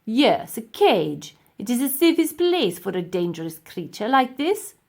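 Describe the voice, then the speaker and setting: Lady doing evil old professor guy for a kids audiobook, pompous n gloating, slow and emphatic, really swoopy. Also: on the high side, very animated, gravelly, a little mellow, full character voice. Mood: proud, insistent. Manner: emphatic, drawn-out, pompous delivery. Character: gloating, cold-hearted old male professor villain. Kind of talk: children's audiobook character acting.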